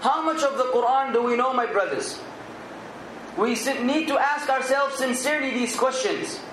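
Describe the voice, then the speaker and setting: A man's voice: very high-pitched, moderate pace, reverberant, background noise. A man giving an earnest Islamic sermon, exhorting his listeners and now and then rising to emphatic outbursts. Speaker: Islamic preacher.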